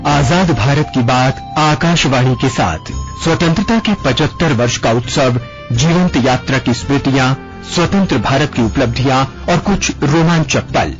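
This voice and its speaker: Male announcer, Indian accent, medium pitch, scripted radio promo, faint music behind, slightly muffled broadcast audio. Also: animated, moderate pace. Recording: dull, band-limited radio broadcast sound.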